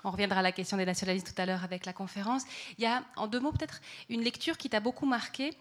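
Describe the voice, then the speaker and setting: A woman moderating an exhibition talk, leading with brisk questions. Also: mid-range voice, fairly even intonation. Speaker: event moderator.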